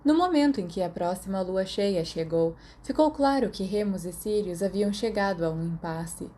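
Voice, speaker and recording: A woman's voice: medium pitch, moderate pace, dark tone, a little hiss or hum. A woman with a Brazilian accent reads a story aloud as scripted audiobook narration. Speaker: podfic narrator.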